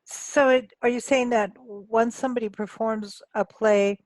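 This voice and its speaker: A woman's voice: a little high, fairly even intonation, measured pace, darkish tone, no echo. A woman co-hosting a radio show in casual chat, with an informal, colloquial way of talking.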